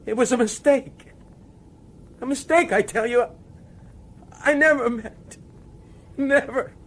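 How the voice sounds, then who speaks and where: An actor playing a cornered, anxious police commissioner in a radio drama, husky, strained, nervous and halting. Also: male voice, very high-pitched, exaggerated voice. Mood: panicked, pleading.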